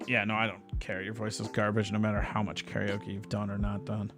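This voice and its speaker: Male American stream host, teasing and deadpan-sarcastic. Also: on the low side, brisk, faint music behind. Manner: teasing, sarcastic, lots of sighs and groans. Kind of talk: casual comedic banter.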